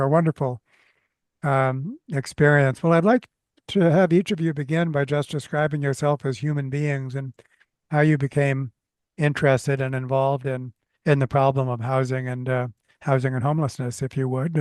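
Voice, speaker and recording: A man hosting a conversational podcast interview, talking haltingly with many restarts. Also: mid-range voice, steady tone, darkish tone, no room sound. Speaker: podcast host.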